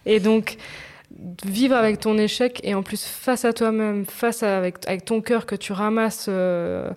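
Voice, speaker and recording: A woman's voice: mid-range voice, steady tone, unhurried, a little mellow. Female podcast co-host, mid-range voice, open and informal, talking personally in slangy everyday speech. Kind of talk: casual, confessional podcast chat.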